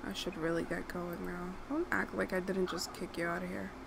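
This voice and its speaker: A woman's voice: fairly low pitch, level tone, normal speed, vocal fry. Young woman, chatty gameplay commentary, noisy background hiss. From Puerto Rico. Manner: chatty, talks to the game characters, bursts of outrage. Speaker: gaming YouTuber. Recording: noisy home recording.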